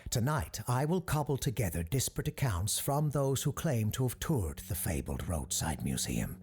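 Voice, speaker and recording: An actor playing a composed, earnest parapsychologist hosting an eerie radio show, speaking in a measured, formal announcer style. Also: male voice, mid-range voice, big pitch swings, a little mellow. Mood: ominous, storytelling. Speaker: host of a paranormal call-in radio show. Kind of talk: scripted radio-show narration.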